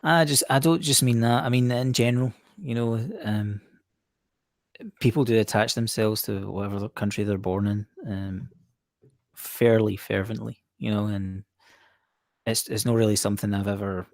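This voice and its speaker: A man's voice: fairly low pitch, fairly even intonation, normal speed, creaky, dry recording, clean studio sound. A man in a casual group discussion, speaking frankly and musingly.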